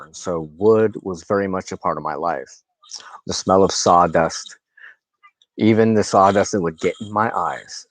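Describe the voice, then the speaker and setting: A man with a low-pitched voice hosts a spiritual show, talking informally to the camera. He speaks slowly and deliberately, often pausing mid-phrase and repeating words for emphasis. The sound is dry and close. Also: no echo, low-quality recording.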